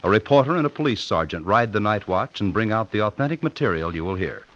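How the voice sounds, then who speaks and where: A man's voice: on the low side, lively intonation, fast, fairly bright tone. A man announcing in a formal, emphatic 1950s radio style, reading a scripted promo. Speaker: network announcer. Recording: muffled vintage radio broadcast.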